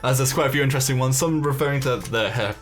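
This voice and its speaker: Mid-pitched man giving casual game commentary. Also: quick pace. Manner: tentative, speculative, explanatory. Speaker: gaming video host. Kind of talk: casual game commentary, talking through details. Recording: music behind the voice.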